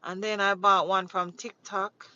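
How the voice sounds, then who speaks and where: A woman's voice: medium pitch, level tone, unhurried, bright, forceful tone, lo-fi sound. A woman from Jamaica, chatting casually on a livestream, rambling and repeating herself as she greets viewers from inside a vehicle. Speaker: livestream host. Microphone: phone mounted on dashboard.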